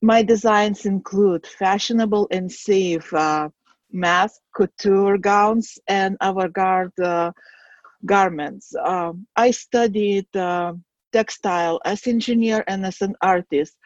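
A woman with a Lithuanian accent, a guest designer on a podcast, speaking hesitantly with many pauses and fillers. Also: mid-range voice, fairly even intonation, no room sound, highs cut off. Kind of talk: podcast interview.

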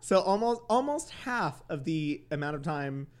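A man's voice: fairly high pitch, sing-song, a little slow. A man co-hosting a podcast in casual chat, laughing often and rambling with fillers. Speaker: podcast co-host.

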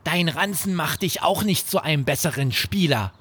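A man's voice: on the high side, expressive, moderate pace, fairly bright tone, slightly rough, voice pushed up. A man voicing a cocky schoolboy bully and sore loser, taunting and grumbling in a children's bedtime audio story.

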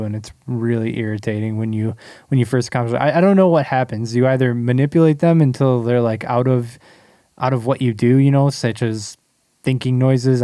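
A man's voice: medium pitch, dark tone, dry recording, studio quality. A man co-hosting a podcast in easygoing, conversational chat, laughing often and leading the talk with questions. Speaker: podcast co-host. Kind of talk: casual chat.

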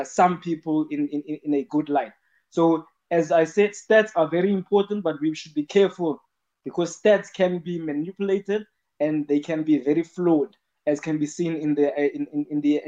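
A man's voice: fairly high pitch, mellow. A man speaking in a live audio chat room, earnestly explaining a point and repeating words as he builds his argument. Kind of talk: casual spoken argument. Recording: dull lo-fi online voice chat.

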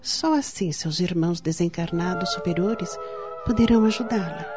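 A woman playing a gentle young spiritist healer in a radio soap opera, giving measured, solemn counsel. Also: on the low side, close to an everyday voice. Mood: solemn, admonishing. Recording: dull lo-fi audio with music.

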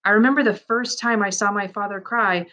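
A woman narrating a man's first-person story aloud, in a measured, reflective and earnest way. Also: medium pitch, fairly even intonation, sharp tone.

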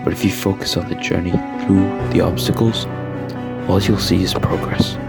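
A low-pitched male actor as a stern but caring sports coach in a student audio drama. He delivers solemn, motivational advice like a mentor. Music plays clearly under his voice. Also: fairly even intonation, moderate pace. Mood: grave, disappointed.

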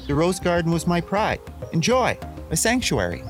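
A man's voice: sits high, huge pitch swings, moderate pace, soft background music. Man acting a wildly swooping, indignant garden owner. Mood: defensive, wounded. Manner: pleading, dramatic protesting. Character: indignant, defensive male owner of a prized garden. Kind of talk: comic radio mystery acting.

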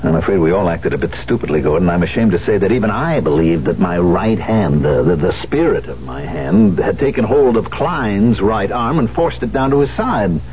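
An actor playing a proud, embittered concert pianist in a 1970s radio drama, with a theatrical, emphatic delivery. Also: male voice, on the low side, expressive, moderate pace, few pauses, darkish tone, lightly acted. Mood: contrite, earnest. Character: proud, embittered concert pianist who lost his hand. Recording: muffled, hissy old radio broadcast.

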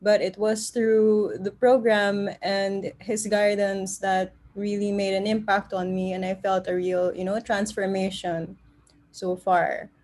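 A woman's voice: mid-range voice, level tone, unhurried. A woman hosting an interview series, giving chatty introductions and asking her guest questions. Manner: warm, chatty introductions with frequent laughs. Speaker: interview host.

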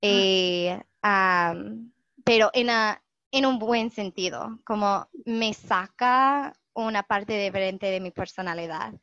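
Slow English-native woman speaking Spanish. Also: mid-range voice, sharp tone, clear, resonant tone, no echo. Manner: slow and thoughtful, with many "am" fillers and restarts. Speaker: guest. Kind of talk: casual conversation in learned Spanish. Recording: dull, dry lo-fi recording.

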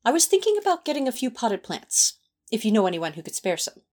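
Casting: prim male angel played by a woman, lively melodic delivery, proper and tender, for audio fiction. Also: a little high, fairly quick. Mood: tentative, fond. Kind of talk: fan audio drama acting.

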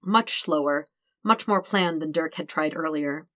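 A woman's voice: low voice, a little slow, fairly bright tone, no room sound. A woman reading a fictional story aloud as a scripted narration. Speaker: podfic reader.

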